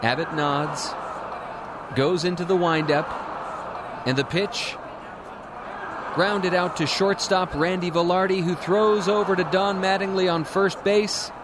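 A man's voice: high-pitched, steady tone, slow, long pauses, very noisy. A man narrating a scripted dramatic story as its storyteller. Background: music.

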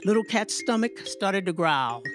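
A woman telling a story to children in an animated, rhythmic way, drawing out words with playful emphasis. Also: medium pitch, unhurried, over music.

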